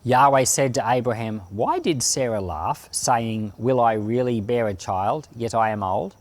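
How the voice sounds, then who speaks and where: A man reading scripture as narration, with expressive delivery and deliberate phrasing. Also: mid-range voice. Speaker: Bible podcast reader and pastor.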